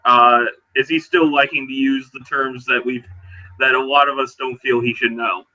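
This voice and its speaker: Man playing a very bright-voiced, plain-spoken werewolf. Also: medium pitch, steady tone, muffled recording. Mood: puzzled, casual. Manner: casual, halting. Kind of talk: improvised in-character roleplay dialogue.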